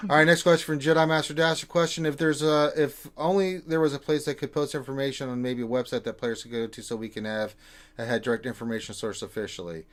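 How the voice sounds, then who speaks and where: A man's voice: mid-range voice, fairly even intonation. Man, casual live host, joking asides, reads viewer questions, online call mic. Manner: joking, quick asides, frequent "uh" fillers.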